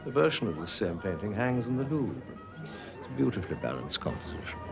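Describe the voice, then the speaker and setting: A man's voice: mid-range voice, sing-song, fast, gravelly. An actor playing a cultured, lecturing male art expert in a British TV drama. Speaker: gallery guide. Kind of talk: 1980s British TV drama acting. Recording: TV soundtrack with music underneath.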